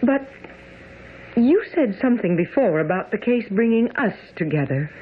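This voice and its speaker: An actress playing a gentle, candid young lady in a radio mystery drama. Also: a little high, lively intonation, moderate pace, a little hiss or hum, close to an everyday voice. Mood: hurt, probing. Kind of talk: old-time radio drama acting. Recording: muffled, narrow-band radio broadcast.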